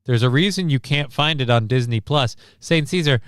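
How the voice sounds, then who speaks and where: A man's voice: medium pitch, normal speed, fairly bright tone, smooth, no room sound. Guy streaming, swearing about movies, sarcastic ranty style, clean resonant voice, close dry sound. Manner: blunt, sarcastic, ranting. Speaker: livestream host. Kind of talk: casual profane movie commentary.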